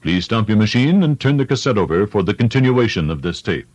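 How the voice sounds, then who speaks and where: A man's voice: on the low side, very animated, brisk, darkish tone, no room sound, lo-fi sound. A man making a scripted announcement for a cassette recording. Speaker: tape announcer.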